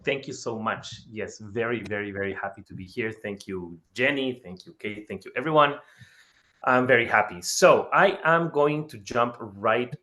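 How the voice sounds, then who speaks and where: Guy from ecuador presenting on a video call, upbeat, repeats words, mid pitch. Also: normal speed, pushed and bright. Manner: enthusiastic, repeats words for emphasis. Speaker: guest speaker. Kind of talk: webinar presentation.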